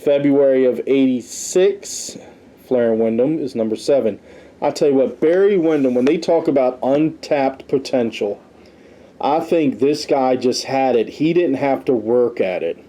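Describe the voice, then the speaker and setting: A man's voice: medium pitch, a little slow, warm tone. A man hosting a podcast, giving casual conversational commentary as he reads through a list and adds his own asides. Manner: conversational, tossing in personal asides. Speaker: podcast host reviewing wrestling history.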